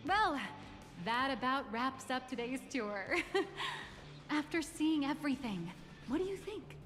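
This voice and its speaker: A corporate guide from a video game, composed, formal and guarded. Her voice sits a little high. The game audio carries a long reverb tail. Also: expressive, slow pace, fairly bright tone, soft background music, full character voice. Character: polished corporate woman tour guide.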